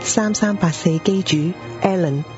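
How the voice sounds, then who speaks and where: A woman's voice: mid-range voice, a little slow, lightly acted. A woman with a Hong Kong accent playing a polite night-shift pager operator and storyteller in a radio drama. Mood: storytelling, eerie. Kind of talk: scripted radio drama narration. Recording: dull lo-fi audio under music.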